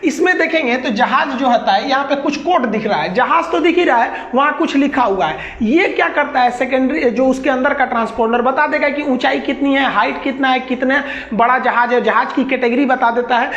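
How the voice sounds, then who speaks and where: A man's voice: extremely high pitch, a little fast, fairly bright tone. A man teaching a class, explaining step by step with folksy joking asides. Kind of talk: classroom lecture. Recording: echoey, dull classroom recording.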